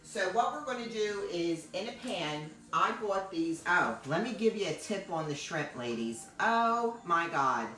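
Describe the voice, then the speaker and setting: A middle-aged woman with a loud, deep, low-pitched voice hosts a chatty, rambling cooking livestream. She talks from a distance in an echoey home kitchen. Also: expressive, unhurried, hardly any pauses, faint music behind. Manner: chatty, rambling, thanks viewers often. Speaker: cooking show host. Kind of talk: live-streamed cooking demo chat.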